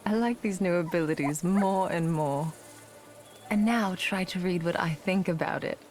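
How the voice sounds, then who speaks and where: Woman acting a low-voiced, shrewd conspirator. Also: moderate pace, darkish tone, natural voice. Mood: sly. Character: shrewd, wry, confident female occult conspirator. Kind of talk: video game voice acting. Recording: clear ambient noise behind voice.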